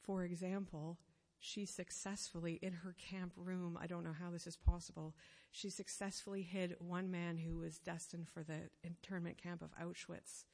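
A woman giving a sermon, telling a story in an earnest, measured way, pausing phrase by phrase. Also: on the low side, little pitch movement, a little mellow. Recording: long reverb of a hall.

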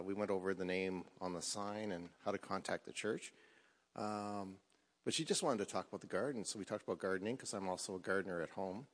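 A man in a congregation telling a chatty anecdote, loud and full of fillers. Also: fairly low pitch. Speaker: congregation member. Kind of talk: casual anecdote. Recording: echoey church hall.

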